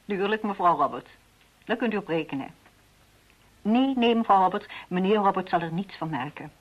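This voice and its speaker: Brisk female detective's assistant: husky, frank, dryly teasing, radio drama with muffled sound. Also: mid-range voice, expressive. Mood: wry. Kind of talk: radio play acting. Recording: dull lo-fi old radio sound.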